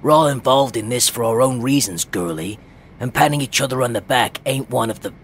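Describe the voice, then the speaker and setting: An actor playing a brash, gruff young male superhero in an audio drama, slangy and sarcastic. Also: mid-range voice, animated, brisk, a little hiss or hum, close to an everyday voice. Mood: scornful.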